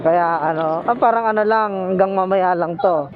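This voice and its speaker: A man's voice: high-pitched, normal speed, narrow, tinny audio, music in the background. A Filipino man chatting casually as a motorcycle taxi rider, playful and teasing with his passenger, talking through a helmet intercom outdoors. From Philippines. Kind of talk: casual bantering chat with a passenger. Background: vehicle and street noise.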